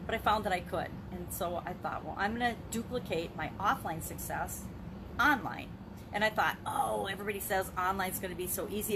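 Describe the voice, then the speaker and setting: An older woman talking candidly and off the cuff to camera as a business vlogger, rambling a bit, with emphatic phrasing. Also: medium pitch. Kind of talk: unscripted talk to camera on a live video. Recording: clearly noisy background ambience.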